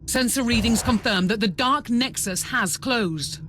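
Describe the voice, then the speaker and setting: An actress playing a dutiful, frank starship captain, measured and formal in a video game cutscene. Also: female voice, a little high, a bit bright, slight background noise. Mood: sober, pensive. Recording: music behind the voice.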